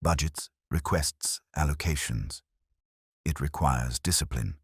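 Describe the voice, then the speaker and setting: A man voicing a prim, guarded finance clerk who speaks softly in short, clipped phrases. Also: very deep voice, unhurried, slightly rough, dry recording, studio quality, exaggerated voice. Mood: guarded polite. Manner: soft-spoken, clipped and prim.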